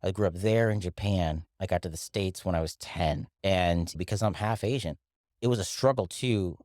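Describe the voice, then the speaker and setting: A man's voice: low-pitched, a little mellow, no room sound, studio quality. A middle-aged man from the Philippines, a wellness coach speaking earnestly as an interview guest. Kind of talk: reflective interview talk.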